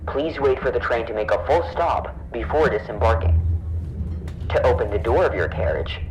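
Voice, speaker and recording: A man's voice: mid-range voice, expressive, normal speed, a little hiss or hum, low-quality recording. A man reading scripted onboard train announcements in a polite, formal, carefully enunciated manner. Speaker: English teacher voicing onboard train announcements.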